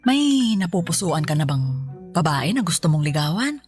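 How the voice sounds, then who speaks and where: A woman's voice: on the low side, big pitch swings, normal speed, over music. A woman with a Filipino accent playing a middle-aged mother in a radio drama, affectionate and teasing in a conversational way. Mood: teasing, fond. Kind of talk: Tagalog radio drama acting.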